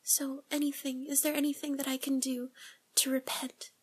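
A woman playing a nervous young woman confessing to a priest, speaking in a breathy whisper with halting, tearful, pleading phrases. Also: sits high, flat delivery, close to an everyday voice. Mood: relieved, earnest. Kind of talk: whispered audio roleplay monologue.

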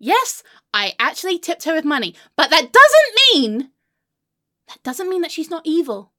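A woman acts out a melodramatic, unreasonable woman in a comedy monologue. Her voice sits extremely high and leaps around in pitch. She swears freely and delivers everything histrionically, veering between sulky mutters and wounded wails. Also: lively intonation, fairly quick, fairly bright tone. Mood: stubbornly indignant. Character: melodramatic, irrational, foul-mouthed woman outraged by her haircut. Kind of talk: comedic monologue to an unheard friend.

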